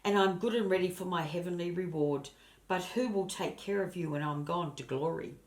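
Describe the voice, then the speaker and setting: Woman as aged storybook grandmother, weary, cautionary, solemn, low register. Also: moderate pace, reverberant, close to an everyday voice. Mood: weary, sad. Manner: solemn, admonishing.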